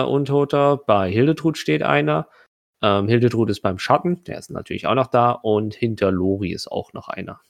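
A man's voice: lowish voice, vocal fry, no room sound, studio quality. A man narrating tabletop combat as game master, hesitant and often correcting himself.

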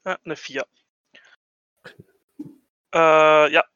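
A man's voice: on the high side, fairly even intonation, extremely slow, bright, forceful tone, clear, resonant tone, no room sound, highs cut off. A man playing a tabletop game, chatting casually and thinking aloud in a tentative way.

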